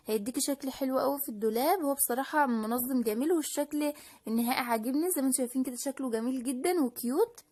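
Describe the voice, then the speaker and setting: Quick, high-voiced Egyptian woman. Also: a little mellow. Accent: Egyptian Arabic. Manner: chatty and explanatory, with frequent restarts and fillers. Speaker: DIY crafts channel host. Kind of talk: casual voice-over crafting tutorial.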